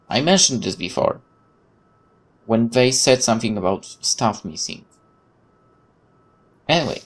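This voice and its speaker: A man reading visual novel narration aloud dramatically, with casual asides as a gaming host. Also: on the low side.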